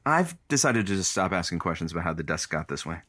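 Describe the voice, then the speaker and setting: An actor playing a crude, bullheaded, sardonic male radio producer and announcer in an audio comedy, deadpan and bickering. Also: low voice, quick pace, heavily acted.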